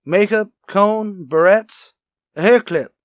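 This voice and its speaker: Man playing a high-voiced, wry crime lab technician. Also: no room sound, clean studio sound. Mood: brisk. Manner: wry, sarcastic, brisk, casual. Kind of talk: old-time radio crime drama acting.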